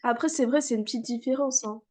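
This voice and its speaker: A woman's voice: on the high side, fast talker, warm tone. A woman teaching a beginner class over a video call, patiently repeating sounds for her students, with frequent fillers. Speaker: Arabic reading teacher.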